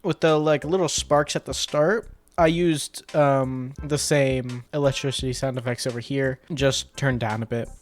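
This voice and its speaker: A man's voice: medium pitch, fairly even intonation, measured pace, hardly any pauses. A man giving a casual, step-by-step commentary as a video editor breaking down his sound design work. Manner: informal, explains step by step. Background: music tracks and sound effects being demonstrated.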